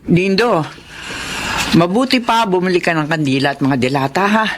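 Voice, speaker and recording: A woman's voice: on the low side, moderate pace, slight background noise, lightly acted. An actress playing a worried, caring older mother of grown children in a Tagalog radio drama. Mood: fretful, caring. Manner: fretful, motherly.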